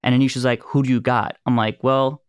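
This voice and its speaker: A man's voice: medium pitch, brisk, clear, resonant tone, dry recording, highs cut off. A man in his thirties from Los Angeles, a filmmaker telling stories in a casual chat and laughing easily along the way. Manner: animated storyteller, laughs easily. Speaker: filmmaker and producer. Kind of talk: casual conversation, storytelling.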